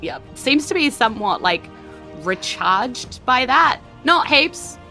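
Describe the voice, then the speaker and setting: A woman's voice: high voice, big pitch swings, slow pace, creaky, sharp tone. A woman with a New Zealand accent casually narrating a tabletop game as game master. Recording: background music under speech. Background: music.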